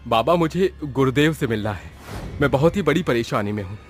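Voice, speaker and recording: A man's voice: medium pitch, lively intonation, fairly quick, faint music behind, lightly acted. A man voicing a desperate, respectful village headman in a horror story, earnest and urgent. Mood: pleading. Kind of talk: Hindi horror storybook voice acting.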